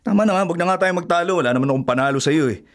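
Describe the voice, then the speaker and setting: An actor playing a blunt, exasperated fiancé who complains and argues in a radio drama. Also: male voice, a little high, lively intonation, rapid-fire. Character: exasperated, grumbling fiancé, an adult man. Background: music.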